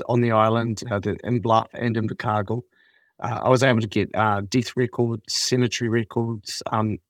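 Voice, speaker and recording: Male reporter guest, New Zealand accent, relaxed and hesitant, dry sound. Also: mid-range voice, dry recording. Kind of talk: casual interview answers.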